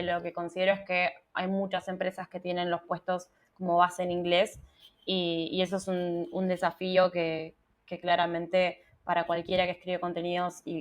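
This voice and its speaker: A young Argentine woman, a UX writer, answering interview questions in a casual, thoughtful way with many restarts. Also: fairly low pitch. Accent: Argentine Spanish.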